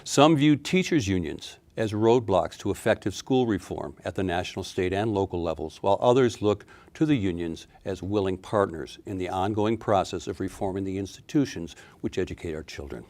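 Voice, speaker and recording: A man's voice: deep voice, animated, fairly quick, hardly any pauses, darkish tone. A man hosting an interview show, reading a formal introduction and asking conversational questions. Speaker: host.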